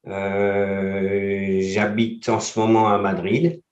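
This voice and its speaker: Slow, hesitant man on a roomy, dull line. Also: on the low side, flat delivery, darkish tone. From France. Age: 40s. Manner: slow, hesitant, many fillers. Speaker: guest. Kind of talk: casual interview.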